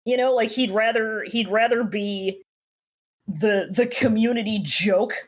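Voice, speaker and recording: A woman's voice: a little high, a little slow. Podcast co-host: woman, casual recap chat, irreverent, slangy, unafraid to swear.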